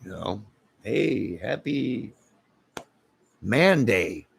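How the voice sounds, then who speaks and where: Hoarse, gravelly low-voiced man. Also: sing-song, very slow, slight background noise. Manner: rambling, greets viewers one by one. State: hoarse from singing. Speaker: livestream host. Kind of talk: late-night casual live chat.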